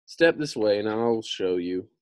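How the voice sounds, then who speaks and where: A man's voice: fairly low pitch, expressive, measured pace, no echo, studio quality. An American man voicing a little old humbug wizard, timid and evasive, in a storybook read-aloud. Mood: sheepish.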